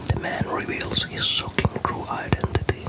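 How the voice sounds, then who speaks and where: Softly whispering man, ASMR storyteller. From Finland. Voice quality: soft, breathy unvoiced whisper. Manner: calm, unhurried, careful storytelling. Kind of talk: ASMR whispered narration of a video game story.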